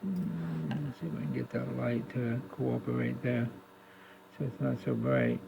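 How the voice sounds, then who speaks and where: A man talking casually through a hands-on repair demonstration, halting as he thinks aloud, recorded on a phone camera. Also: medium pitch, steady tone, vocal fry. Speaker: radio repair hobbyist. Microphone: phone camera propped near the workbench. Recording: lo-fi phone recording with faint noise.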